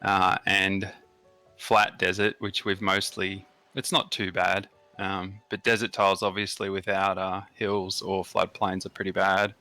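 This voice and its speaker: A man's voice: low voice, flat delivery, measured pace, pushed and bright, faint music behind. A man with an Australian accent hosting a strategy game session, explaining settings and bonuses in detail with frequent fillers. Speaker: gaming channel host. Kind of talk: casual gameplay commentary.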